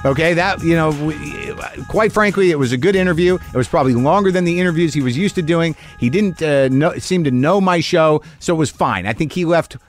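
A man's voice: fairly high pitch, brisk, few pauses, soft background music. A man in his fifties hosting a podcast, talking casually in a rambling way with many halts and restarts, recorded close on a studio mic. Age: 50s. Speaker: podcast host. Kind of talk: casual podcast chat and monologue.

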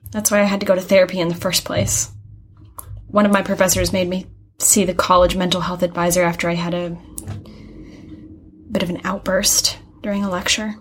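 Young college girl in an audio drama, husky voice, chatty n earnest, kinda rambly and unsure. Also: lowish voice, flat delivery, brisk, long pauses. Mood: chatty, candid. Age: young adult. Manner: rambling, hesitant, openly earnest. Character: earnest, chatty young art student who hears angels. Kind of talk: naturalistic audio drama acting.

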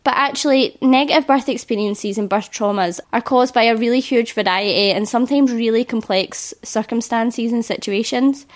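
A woman in her thirties hosting a solo podcast as a birth educator. Her voice is slightly croaky from illness, and she talks in an earnest, reassuring way. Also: on the high side.

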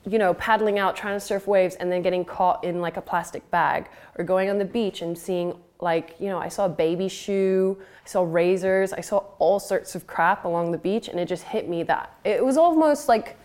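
A woman's voice: lowish voice, moderate pace, hardly any pauses, darkish tone. A woman in her twenties from Thailand, a podcast guest, talking in a rambling casual way with many fillers and offhand swearing. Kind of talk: casual interview.

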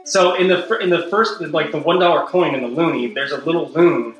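A man's voice: fairly high pitch, brisk, echoey room, lo-fi sound, faint music behind. A young man from Montreal with a Canadian accent, chatting casually and joking, laughing often and filling his talk with "like". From Montreal, Quebec, Canada. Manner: jokey, laughs often, lots of "like". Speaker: guest. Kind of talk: casual chat.

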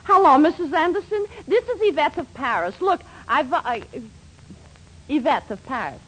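A woman's voice: high voice, expressive, normal speed. A woman playing a fussy, gossipy corset shop proprietor with a hesitant manner in a 1940s radio comedy. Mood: gossipy, affected. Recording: noisy 1940s radio recording.

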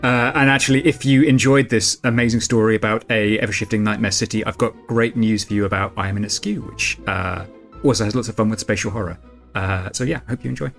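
A man's voice: on the low side, fairly even intonation, fast talker, creaky, a bit bright, faint music behind. A man giving a casual, informal promotional sign-off for audio fiction.

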